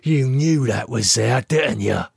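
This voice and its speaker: A crude, manipulative thug, hurt and desperate, put on by a man reading a story. His voice jumps far above the narrator's own into strained, pleading shouts. He snarls and swears in a raw, close, dry sound. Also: high voice, lively intonation, slow pace, no room sound, pitched above the performer's own voice, heavily acted. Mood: cold hatred.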